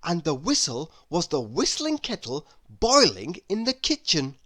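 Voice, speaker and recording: A woman narrating a children's storybook aloud in an expressive, dramatic and deliberate way. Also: on the low side.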